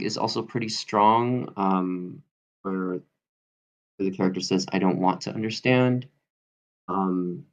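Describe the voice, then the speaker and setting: Low-voiced hesitant man, dull audio. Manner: hesitant, halting, thinking aloud. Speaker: participant giving script feedback. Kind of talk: casual spoken feedback. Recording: dull lo-fi online call audio.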